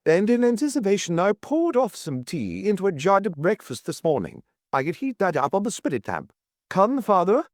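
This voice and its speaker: A man's voice: high-pitched, very animated, normal speed, few pauses, dark tone, no echo, studio quality, voice pushed up. A man voicing a stern, thrifty older matron with a prim, disapproving manner.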